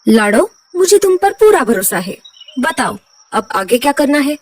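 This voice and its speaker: A woman's voice: high voice, sing-song, measured pace, a little mellow, breathy edge, far from the mic, exaggerated voice. A woman voicing a kindly, trusting bird king with a regal manner in a cartoon story. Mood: attentive. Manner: regal, attentive.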